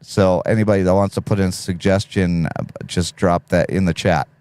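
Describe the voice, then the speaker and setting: Deep-voiced man hosting a live stream. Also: fairly even intonation, moderate pace, darkish tone, clean tone, no room sound. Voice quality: deep radio voice. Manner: laid-back, reads chat messages aloud, laughs often. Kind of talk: casual live stream chat.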